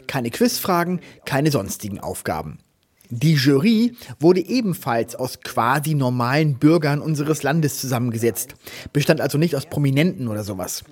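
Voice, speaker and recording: A man hosting a TV critique show from a studio, presenting in a measured, analytical way. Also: a little high, a little mellow, breathy edge.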